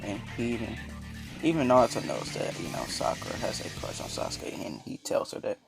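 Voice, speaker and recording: A man with a raspy, just-woken-up voice telling a fan story in a casual, rambling way. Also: fairly low pitch, sing-song, fairly quick. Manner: rambling, laid-back, lots of fillers. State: just woke up, dry voice. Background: background music.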